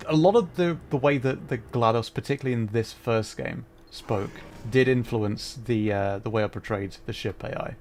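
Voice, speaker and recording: A man hosting a livestream, giving casual, halting gameplay commentary as he thinks aloud. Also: medium pitch, darkish tone, a little hiss or hum. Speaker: livestream host playing a video game.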